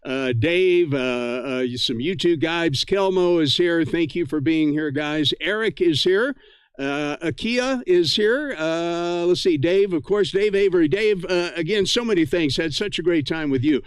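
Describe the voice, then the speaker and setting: Friendly man hosting a radio net, clear voice. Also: a little high, measured pace, no echo, studio quality. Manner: friendly, effusive, thankful. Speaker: ham radio net host and livestreamer.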